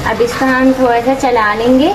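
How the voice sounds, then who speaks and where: A woman's voice: high-pitched, level tone, moderate pace, noisy background. A woman home cook giving a step-by-step recipe voice-over. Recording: echoey room, music underneath. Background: background music.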